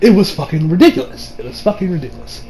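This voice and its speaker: A young adult man giving a casual, rambling movie review with excitable delivery and frequent swearing. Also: high voice, fast talker. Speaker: video reviewer. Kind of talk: casual movie review chat over gameplay.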